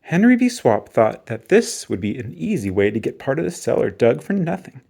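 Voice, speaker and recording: Animated man reading a children's storybook. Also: mid-range voice, moderate pace, vocal fry, breathy edge. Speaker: reader of a children's picture book. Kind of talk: storybook read-aloud.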